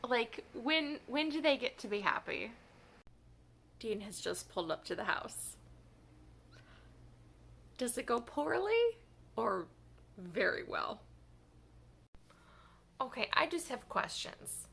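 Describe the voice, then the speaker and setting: Bright, creaky-voiced woman vlogger chatting. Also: fairly high pitch, expressive, slow, pauses often, slight background noise. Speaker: reading vlogger. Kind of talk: casual reaction vlog chat.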